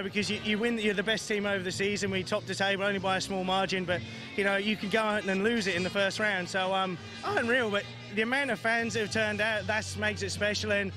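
A hoarse male team captain giving a post-race interview outdoors at a stadium, breathless and elated. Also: sits high, fairly even intonation, brisk, music in the background.

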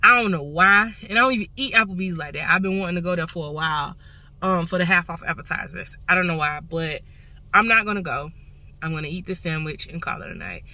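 A woman's voice: on the low side, quick pace, bright, forceful tone. A young woman chatting casually to camera in a folksy, conversational way, recorded on a phone inside a car. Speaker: vlogger. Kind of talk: casual vlog chat to camera. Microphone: phone at arm's length.

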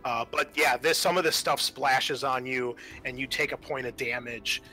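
A man speaking as game master of a tabletop roleplaying game, casual and halting as he thinks aloud. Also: medium pitch, steady tone, pushed and bright.

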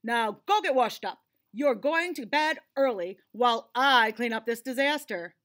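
A woman's voice: on the high side, animated, measured pace, a bit bright. A woman voicing an exasperated, stern father in a storybook, scolding with heavy emphasis.

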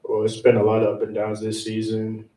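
A young man, a college basketball player answering press questions in a calm, plain way. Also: on the low side, brisk. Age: young adult. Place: press conference room. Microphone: table mic at a distance. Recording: echoey room, distant mic, faint hum.

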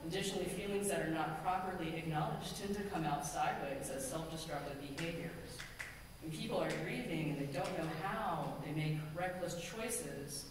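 Woman minister, middle-aged, measured sermon delivery, heard from afar in a long-reverb sanctuary. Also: deep voice. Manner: measured, earnest, slows for emphasis. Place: large church sanctuary, at a pulpit. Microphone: pulpit gooseneck mic, far camera. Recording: echoey hall from far off, ambient noise.

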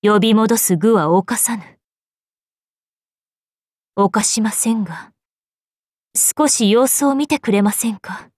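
Slow, regal fairy queen. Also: mid-range voice, darkish tone, no echo, studio quality. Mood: composed concern. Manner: formal, dignified, measured. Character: regal, composed fairy queen, stern yet motherly. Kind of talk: anime game voice lines.

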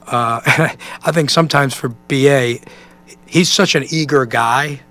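An American man in his forties, a basketball coach answering press questions in a halting, conversational way, often saying "you know.". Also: medium pitch, fairly bright tone, faint music behind. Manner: halting, thinking aloud, peppered with 'you know'. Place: indoor press conference room. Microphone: microphone on a stand at a table.

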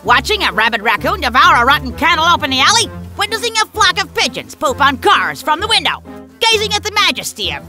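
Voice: high voice, sing-song, quick pace, bright, forceful tone, soft background music, full character voice. An actor playing a nerdy, tactless know-it-all cartoon broccoli with a pedantic, wordy way of talking. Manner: pedantic, wordy, blithely blunt. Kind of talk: cartoon voice acting.

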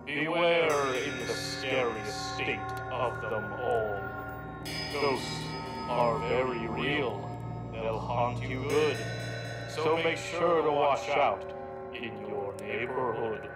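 A man acting as a theatrical, spooky Halloween narrator in a radio play, speaking in drawn-out rhyme. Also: mid-range voice, lightly acted. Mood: foreboding. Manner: very slow, drawn-out, rhyming. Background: loud music.

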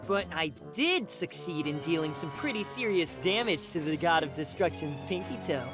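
An actor voicing a brash young male zombie fighter in an anime game, gruff, cocky and stubborn. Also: medium pitch, lively intonation, moderate pace, close to an everyday voice. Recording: loud music behind the voice.

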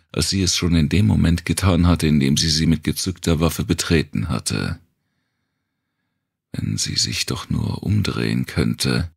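A man narrates a dark thriller audiobook with an extremely deep voice. It is gravelly and husky, and the delivery is deliberate and built for suspense. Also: no room sound, studio quality.

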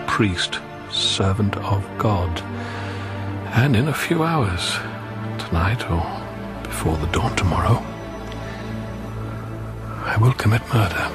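Troubled gentle priest, lowish voice, broody and intense, radio play w music under it. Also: male voice. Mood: brooding, anguished. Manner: brooding, halting, intense, repeating phrases. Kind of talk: radio drama acting, interior monologue and dialogue.

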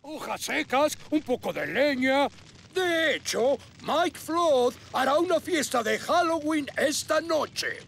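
High, gravelly, pompous cartoon fire chief. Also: male voice, expressive, takes it slowly, heavily acted. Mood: pompous, muddled. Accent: Latin American. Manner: pompous, flustered, exclamatory. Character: blustering older fire station chief, pompous but muddled. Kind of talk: children's cartoon dub acting. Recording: loud music behind the voice.

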